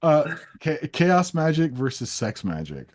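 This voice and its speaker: A man hosting a casual interview, reading out rapid either-or questions in a playful, teasing way. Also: fairly high pitch, very animated, darkish tone. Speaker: interviewer, host.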